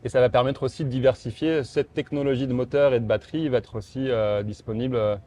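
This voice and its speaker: A man's voice: medium pitch, steady tone, fast, warm tone. A man representing a motorcycle brand, answering interview questions in a casual, hesitant way, with many fillers and restarts.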